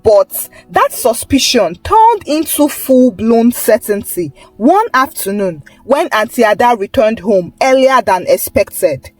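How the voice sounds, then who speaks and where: A woman's voice: a little high, sing-song, slow, few pauses, no room sound, soft background music, poor audio. A woman storyteller reading a scripted folktale narration.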